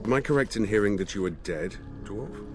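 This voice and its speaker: A man's voice: lowish voice, expressive, brisk, over music, natural voice. A man playing a stiffly formal spirit in a dead body in a video game. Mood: solemn, curious. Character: formal undead male spirit inhabiting a corpse.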